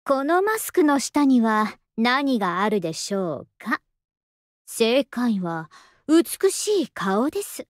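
A young anime game soldier woman with a high voice. She is languid and polite, with an understated affection, and her pitch swings widely. The sound is dry and close. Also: sing-song, takes it slowly, no room sound. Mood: polite, lazy. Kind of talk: Japanese anime game voice acting.

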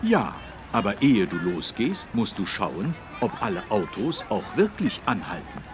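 Deep, animated friendly policeman. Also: unhurried, crowd noise. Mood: instructive, patient. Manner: instructive, courteous, clearly enunciated. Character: friendly adult male policeman explaining traffic rules to children. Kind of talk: children's learning game voice acting.

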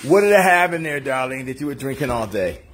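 Playful man with lively, swooping delivery. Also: mid-range voice, quick pace. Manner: playful, teasing. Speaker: host filming a family cooking livestream. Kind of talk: casual teasing banter with family and viewers. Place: home kitchen, indoors. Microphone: handheld phone camera. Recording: noisy handheld phone recording in kitchen.

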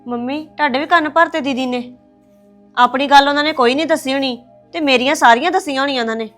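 A woman's voice: high voice, fast talker, a bit bright, faint music behind. A woman playing a daughter-in-law who pleads and defends herself to her mother-in-law in a family drama. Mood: defensive. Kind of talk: domestic drama acting.

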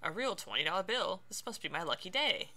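A woman streamer chats casually through a game, animated and reactive. Her voice is slightly low and creaky but bright, with game music softly behind it. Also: a little fast. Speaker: let's play streamer.

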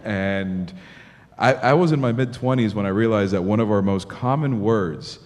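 Male panelist from Miami, 30s, low-ish voice, reverberant conference hall, table mic. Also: moderate pace. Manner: animated, thinking aloud with many restarts. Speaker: panelist journalist. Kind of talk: panel discussion answer. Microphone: table mic on stand.

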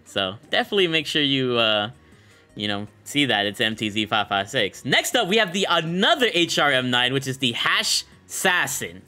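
Casting: young male gaming creator, bright forceful voice, casual slangy enthusiasm, music underneath. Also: medium pitch, big pitch swings, normal speed. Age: young adult. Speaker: gaming content creator and host. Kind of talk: casual excited game bundle showcase commentary.

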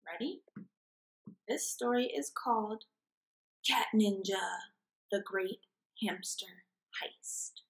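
A woman's voice: mid-range voice, slow, pauses often, poor audio. A woman in her twenties doing a children's read-aloud as a storyteller, recorded indoors at a desk. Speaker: after-school program storyteller.

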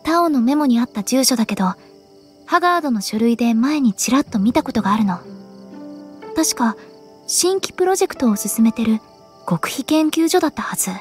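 A woman voicing an earnest, pensive young woman in an anime-style game, speaking in a serious, measured way. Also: on the high side, expressive, soft background music, lightly acted. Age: young adult. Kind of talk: Japanese anime game voice acting.

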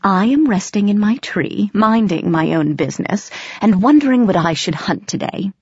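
A woman's voice: lowish voice, a little mellow, husky, dry recording, dull audio. A woman voicing a haughty, aloof male talking cat from a fantasy audiobook, speaking in a formal, patronizing way.

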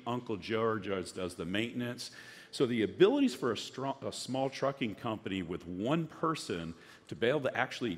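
A middle-aged man giving a conference keynote on a large stage, earnest and full of restarts. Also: lowish voice. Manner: earnest, repeats words, many restarts. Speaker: conference speaker. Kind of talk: keynote presentation.

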